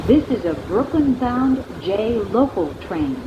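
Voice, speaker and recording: Slow, lilting woman announcing on a train. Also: big pitch swings, muffled recording. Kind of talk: pre-recorded transit announcement. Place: inside a subway train, over speakers. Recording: train announcements with loud ride noise. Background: train rumble and ambience.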